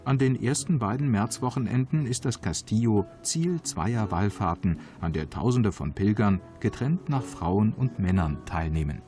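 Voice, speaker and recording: A man reading scripted travel documentary narration in a calm, measured, informative way. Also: lowish voice. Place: voice-over studio. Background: background music.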